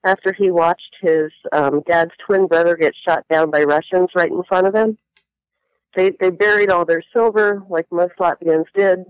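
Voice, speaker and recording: Smooth-voiced reflective woman, dull lo-fi sound. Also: fairly low pitch, steady tone, moderate pace, dry recording. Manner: reflective, hesitant with many fillers. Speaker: interview guest. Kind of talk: personal storytelling interview.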